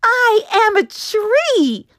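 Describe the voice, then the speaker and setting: A woman voicing a small, frightened young pine tree, a little boy, speaking in a high, earnest, pleading way. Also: a little slow, vocal fry, no room sound. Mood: proud. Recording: dry close studio sound.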